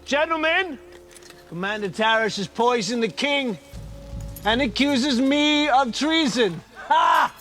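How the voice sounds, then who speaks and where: Guy playing a haughty fantasy movie villain, way high voice, hammy and declaiming, music underneath. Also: animated, takes it slowly, sharp tone, exaggerated voice. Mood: grandly accusing. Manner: declamatory, over-the-top. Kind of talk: theatrical film acting.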